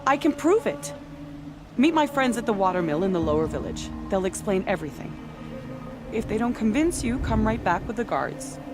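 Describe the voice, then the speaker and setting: A principled, defiant woman thief from a game, voiced by an actress. Her voice is mid-pitched, with music clearly underneath. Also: sing-song, normal speed, lightly acted. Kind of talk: video game acting.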